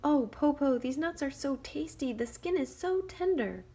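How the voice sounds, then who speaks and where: Woman doing a clever girl, high and bright. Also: normal speed, slightly rough. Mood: eager. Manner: bright, eager and lively. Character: clever eldest girl, bold and quick-witted.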